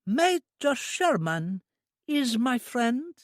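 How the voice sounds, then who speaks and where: Childlike young woman, very high, slow sing-song, eager and clinging, dry close sound. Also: big pitch swings, dry recording, full character voice. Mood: eager pleading.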